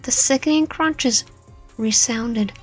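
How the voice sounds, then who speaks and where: A woman's voice: fairly high pitch, slow pace, slightly rough. A woman narrating a dramatic story read aloud, stumbling over and repeating some words as she reads. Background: background music.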